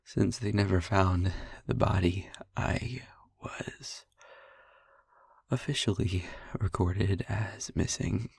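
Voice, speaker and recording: A man playing a young vampire boyfriend in an intimate audio roleplay, haunted, tender and wry, speaking in a hushed, breathy, husky voice with long halting pauses. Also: low voice, fairly even intonation, no echo, exaggerated voice. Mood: somber, confiding.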